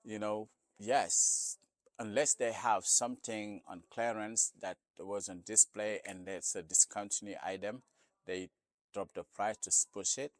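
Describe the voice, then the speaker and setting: Store owner interviewee: man with a Senegalese accent, non-native English, low-pitched, conversational, close dry sound. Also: steady tone, measured pace, dry recording. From Senegal, West Africa. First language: not English. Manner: conversational, often adds "you know". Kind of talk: casual interview answers.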